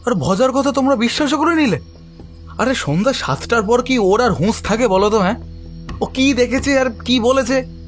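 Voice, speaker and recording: Fed up sceptical coach in an audio play, high male voice, scoffing and exasperated, bit of music under. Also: expressive, normal speed. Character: irritated, sceptical male sports club coach. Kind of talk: Bengali audio drama acting.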